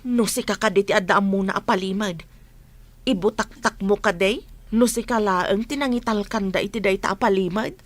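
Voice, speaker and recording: A woman's voice: medium pitch, fairly quick, no room sound, lightly acted. A woman playing a fretful, troubled mother in a radio drama, sounding worried and flustered. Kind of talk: Ilocano radio drama acting.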